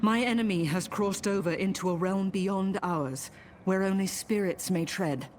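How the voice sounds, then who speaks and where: A woman's voice: medium pitch, normal speed, a little mellow, slight background noise, close to an everyday voice. A woman voicing a determined, grim necromancer adventurer in a fantasy game, speaking formally and with earnest restraint. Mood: urgent, earnest. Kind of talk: fantasy video game acting.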